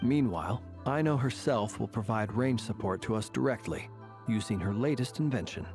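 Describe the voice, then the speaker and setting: An actor playing a composed, elegant fae warrior in a video game, speaking in a measured, formal and reflective way. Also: male voice, mid-range voice, over music, close to an everyday voice. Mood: businesslike. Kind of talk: dramatic video game voice acting.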